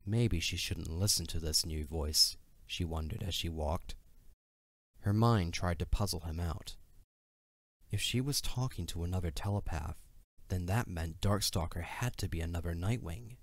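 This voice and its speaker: Low-voiced man narrating a story. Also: normal speed, dry recording.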